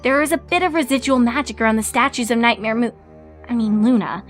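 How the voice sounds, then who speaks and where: Lady voicing a bookish unicorn girl, bit higher voice, earnest and explain-y, faint music under it. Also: fast talker, natural voice. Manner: earnest, explanatory, slightly uncertain. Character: studious young female unicorn, earnest.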